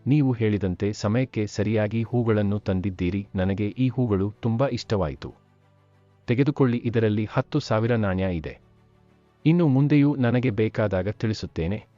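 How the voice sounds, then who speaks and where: A man acting as a wealthy, generous village gentleman in a cartoon folk tale. The voice is low and very mellow, slightly muffled in the recording. He speaks politely and in a measured way. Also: no echo, soft background music, close to an everyday voice. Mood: pleased. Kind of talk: dubbed animated moral story. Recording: muffled lo-fi sound.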